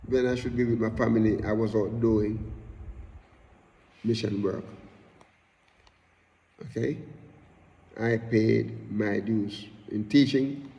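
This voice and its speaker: A middle-aged man with a Jamaican accent preaching a sermon in a slow, emphatic way, repeating refrains and rising in bursts. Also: lowish voice, fairly even intonation, dark tone. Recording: long hall reverb.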